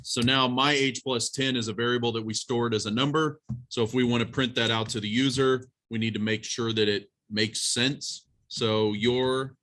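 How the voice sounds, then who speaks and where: Man, college instructor, bright crisp-edged tone, medium pitch, informal classroom teaching, plain-spoken, instructive, direct to students. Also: steady tone, moderate pace. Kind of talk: informal classroom lesson with live coding demonstration.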